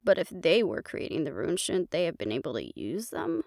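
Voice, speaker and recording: A skeptical, cool-headed woman in a fantasy audio roleplay, put on by a female performer. She talks in a lowered voice with a dry, questioning delivery. The sound is close and echo-free. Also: very animated, moderate pace, gravelly, a little mellow, heavily acted.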